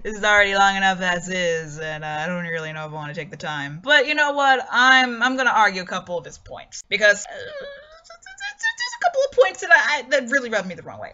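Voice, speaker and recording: A woman's voice: fairly high pitch, very animated, moderate pace, sharp tone, muffled recording. A woman reading and commenting on a review in an emphatic, opinionated way. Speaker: host reading a character review aloud, then commenting.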